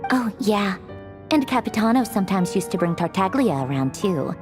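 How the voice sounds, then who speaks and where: A woman's voice: mid-range voice, very animated, moderate pace, music in the background, lightly acted. An actress playing a haughty, sardonic young female villain officer in a video game, dismissive and theatrical, with wistfulness underneath. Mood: flustered, teasing.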